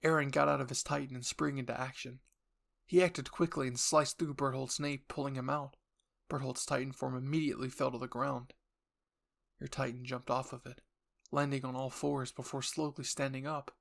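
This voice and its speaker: A man's voice: medium pitch, flat delivery, moderate pace, gravelly, fairly bright tone. A man reading dramatic scripted narration for a roleplay story, addressed to the listener in the second person.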